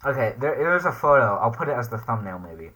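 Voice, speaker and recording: A man's voice: mid-range voice, sing-song, fairly quick, bright, forceful tone, poor audio. A man hosting a casual reaction video, hesitantly thinking aloud as he comments and reads text off the screen. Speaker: YouTube reaction host.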